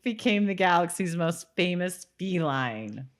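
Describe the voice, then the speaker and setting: Woman podcast host w a lowish voice, chatty, jokey, giggles a lot. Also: lively intonation, a little slow. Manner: giggly, joking. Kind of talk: casual chat about a TV episode, some reading from notes.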